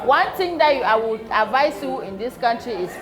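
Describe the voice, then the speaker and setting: A woman's voice: fairly high pitch, animated, a little fast, low-quality recording. A middle-aged woman giving a birthday toast at a party, telling a story and laughing often. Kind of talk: birthday speech. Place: party hall. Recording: loud crowd at a party.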